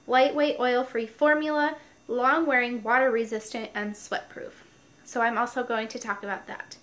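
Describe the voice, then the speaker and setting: A woman's voice: fairly high pitch, a bit bright. A woman with a stuffy nose, chatting casually through her makeup routine step by step while recording at home. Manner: chatty, explains each step. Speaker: beauty vlogger. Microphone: noisy mic.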